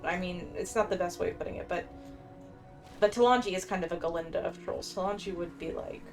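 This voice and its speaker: A woman's voice: on the low side, a little fast, darkish tone. A woman giving casual, slangy, meandering commentary as she plays a video game. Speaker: gameplay streamer. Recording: game music faintly behind.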